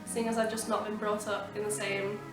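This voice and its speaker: A woman's voice: mid-range voice, flat delivery, brisk. A teenage girl from France, answering interview questions in a thoughtful, hedging way. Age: teens. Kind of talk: casual interview talk. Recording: distant, echoey with music.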